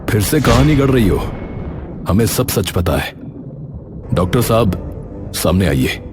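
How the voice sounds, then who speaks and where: Man acting low-voiced, earnest distraught father. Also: big pitch swings, unhurried, music in the background. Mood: indignant. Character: distraught, indignant young father. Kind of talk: cartoon moral story acting.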